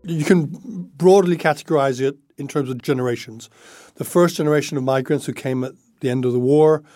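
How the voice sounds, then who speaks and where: A man with a soft, dark tone gives analytical commentary as an editor in an interview. His voice sits at a middle pitch in a very dry recording. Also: brisk, dry recording, faint music behind. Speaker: journalist, foreign affairs editor.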